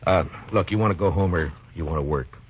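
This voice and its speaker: A man with an American accent playing a stern, steady marshal in a 1950s radio western, terse and commanding. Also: deep voice, fast talker, slight background noise, lightly acted. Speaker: lead actor and narrator. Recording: dull vintage radio recording with music.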